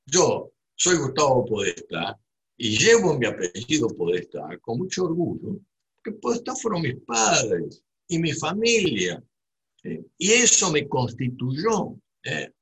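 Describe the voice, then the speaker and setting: A man's voice: medium pitch, wildly swooping pitch, unhurried, fairly bright tone, breathy edge, rough recording. A man from Buenos Aires with a Rioplatense accent, giving a digressive, reflective monologue full of tag questions and restarts. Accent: Rioplatense Spanish. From Buenos Aires, Argentina.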